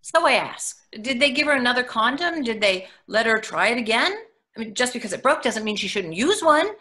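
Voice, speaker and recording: A woman's voice: fairly high pitch, expressive, brisk, sharp tone. A woman playing a practical, blunt mother of daughters in a comic monologue reading. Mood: matter-of-fact. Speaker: actor in a readers theatre.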